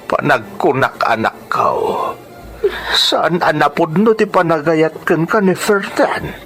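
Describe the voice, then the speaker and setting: Slow, sorrowful old Ilocano father. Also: a little high, fairly bright tone. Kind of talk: emotional Ilocano radio drama acting. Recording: music under the voice.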